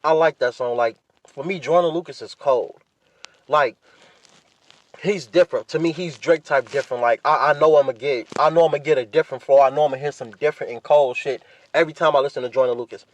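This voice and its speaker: An American man talks in a slightly high-pitched voice as a podcast host on his own. He chats informally, offering opinions straight to his listeners. His wording is slangy and freely peppered with swearing. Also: brisk. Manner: informal slangy talk with frequent profanity. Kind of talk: casual solo chat with opinions, addressing listeners.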